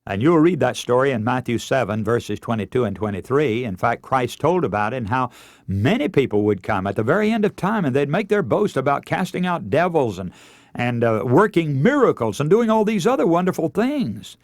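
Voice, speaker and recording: A man's voice: mid-range voice, sing-song, a little fast, no echo, clean studio sound. A man preaching a radio sermon in an earnest, emphatic manner, leaning on stories and rhetorical questions.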